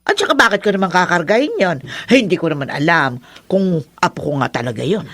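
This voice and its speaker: A woman's voice: on the low side, very animated, fairly quick, a bit bright, dry recording. An actress playing a spiteful, fault-finding older mother-in-law in a Filipino radio drama, blunt and scornful. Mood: bitter, dismissive.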